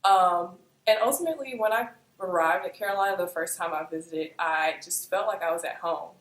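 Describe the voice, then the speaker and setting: A woman's voice: lowish voice, fairly quick, roomy sound, rough recording. A woman in her late teens, a first-year student, speaking sincerely and with poise in an interview. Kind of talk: interview answer. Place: indoor room before a campus backdrop.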